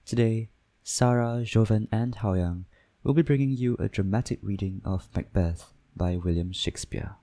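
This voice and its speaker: A man's voice: fairly low pitch, moderate pace, dark tone. A man giving scripted narration, reading stage directions for a dramatic play reading. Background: ominous music.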